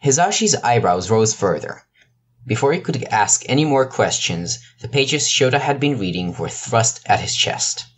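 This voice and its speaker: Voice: medium pitch, sing-song, normal speed, husky. An amateur narrator reading a scripted piece of fiction. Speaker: narrator, amateur podfic reader.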